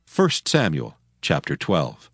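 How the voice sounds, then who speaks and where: A man's voice: extremely low pitch, wildly swooping pitch, takes it slowly. A man narrating scripture as a scripted dramatized audio Bible reading.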